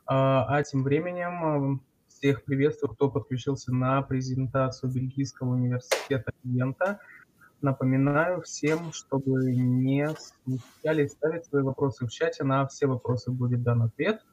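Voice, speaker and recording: A man's voice: medium pitch, steady tone, normal speed, darkish tone. A man moderating an online event, welcoming viewers with hesitant filler sounds. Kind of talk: opening announcement. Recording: dull lo-fi audio.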